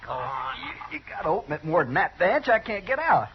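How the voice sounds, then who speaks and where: An actor playing a folksy, evasive small-town barkeep in an old radio western, on a dull vintage recording. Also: male voice, high voice, sing-song, a little fast, gravelly, noisy background, heavily acted. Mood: nervous. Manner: folksy, drawling, hesitant when covering up. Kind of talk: 1950s radio western acting.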